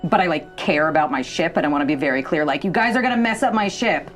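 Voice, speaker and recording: A woman's voice: low voice, fast talker, faint music behind. A woman playing a tabletop game, talking in a wry, playful way. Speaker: player.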